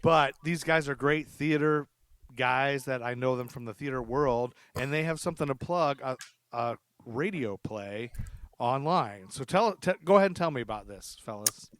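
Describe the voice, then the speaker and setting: A man hosting a casual podcast, chatting loosely and asking curious questions of his guests. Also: medium pitch. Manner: relaxed, chatty, asks curious questions with quick backchannels. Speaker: podcast host. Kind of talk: casual chat.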